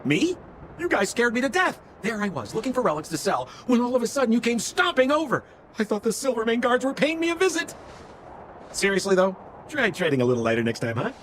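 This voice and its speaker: A man's voice: very high voice, big pitch swings, brisk, fairly bright tone, slight background noise, heavily acted. A man voicing a slick, theatrical male con-man relic dealer in a video game, with glib, wheedling salesman patter. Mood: glib, confiding.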